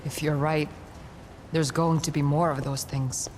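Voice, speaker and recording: An actor playing a wary, protective young adventurer in a video game, speaking in a terse, dry, plain way. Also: male voice, fairly high pitch, little pitch movement, a little slow, noisy background, natural voice. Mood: wary, focused. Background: game soundtrack music.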